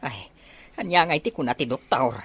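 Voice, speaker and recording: A man's voice: medium pitch, expressive, brisk, fairly bright tone, close to an everyday voice. A man playing a worried old grandfather in an Ilocano audio drama. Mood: worried, hopeful.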